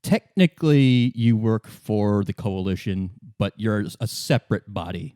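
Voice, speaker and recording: A man's voice: fairly low pitch, unhurried, mellow, no echo, clean studio sound. A man running a tabletop game as game master, improvising his narration in a hesitant, conversational way.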